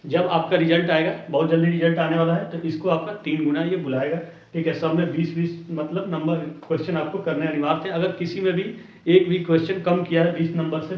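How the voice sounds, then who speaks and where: High-voiced man teaching, echoey room. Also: steady tone, quick pace. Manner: repeatedly checks in with short tag words. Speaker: exam coaching teacher. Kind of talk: informal explanatory lesson. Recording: roomy, distant mic, dull lo-fi sound.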